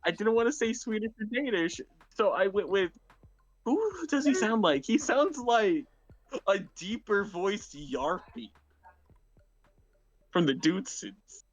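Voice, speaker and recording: A man's voice: high-pitched, very animated, slow pace, pauses often. Rambling Southern man chatting on a call. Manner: rambling, lots of "like" and restarts. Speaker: co-host. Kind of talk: casual chat.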